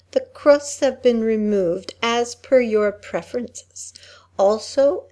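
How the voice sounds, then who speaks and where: Lady doing a polite robot servant droid, highish mellow voice, takes it slow, very proper, radio play. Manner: formal, measured, courteous. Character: polite, formal, helpful protocol droid servant. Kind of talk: family radio play acting.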